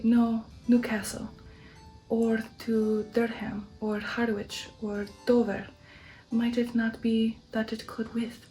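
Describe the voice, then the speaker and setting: A woman reader gives voice to an old aristocratic count from a gothic storybook, formal and insistent. The delivery is mellow and even, in a deliberate ASMR manner, with faint music underneath. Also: fairly high pitch, rough recording. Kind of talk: ASMR audiobook character reading.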